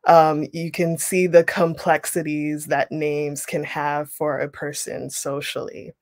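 A young woman leading an online writing workshop, reading a passage aloud and then explaining it with relaxed pauses over a video call. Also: low-pitched, no room sound, studio quality. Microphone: webcam on a video call.

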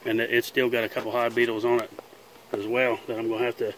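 A man's voice: mid-range voice, level tone, a bit bright, slight background noise, low-quality recording. A man who keeps bees, talking casually and plainly as he films outdoors in a chicken pen. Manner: plain-spoken, unhurried, folksy grammar. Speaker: beekeeper and channel host. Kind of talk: casual narration while filming. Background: faint buzzing of bees outdoors.

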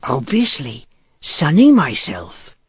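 Man voicing a husky, languid wild boy. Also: a little high, wildly swooping pitch, dry recording, clean studio sound, full character voice. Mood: lazy, patronising. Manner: slow, drawn-out, relishing words. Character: wild teenage werewolf boy, languid, sly, coolly insolent. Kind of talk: audiobook character voice.

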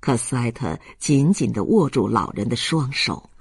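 A man's voice: medium pitch, expressive, measured pace, husky, no room sound, studio quality. A man reading scripted literary narration as an audiobook narrator.

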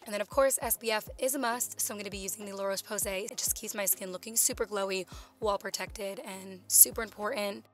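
A young woman narrates her beauty vlog in an eager, gushing voiceover. Her voice is slightly raspy, as though she is losing it a little. Her pitch sits mid-range, with soft background music underneath. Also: steady tone, fast. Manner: gushing, enthusiastic product talk. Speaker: beauty vlogger. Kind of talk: voiceover for a skincare video. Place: home room, voice-over recorded separately.

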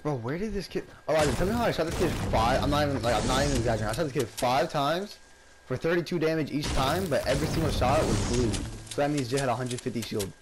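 A young man chatting excitedly with friends while playing a shooter game, swearing and bantering. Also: medium pitch, fast, noisy background. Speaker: gamer. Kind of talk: casual gaming chat with friends.

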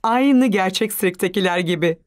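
A woman's voice: medium pitch, normal speed, close to an everyday voice. A woman reading scripted narration for a children's cartoon. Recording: music under the voice.

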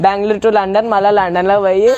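A man's voice: high voice, little pitch movement, quick pace, a crowd in the background. A young man with a Telangana Telugu accent arguing on a casual phone call in a confrontational, taunting way. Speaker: prank caller. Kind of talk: heated casual phone argument. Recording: noisy room with clicks.

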